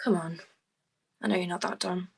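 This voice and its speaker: A woman's voice: fairly low pitch, little pitch movement, moderate pace, vocal fry, a little mellow, slightly rough. A woman playing a defiant, cornered suspect in a crime drama table read, speaking insistently. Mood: defiant, accusing.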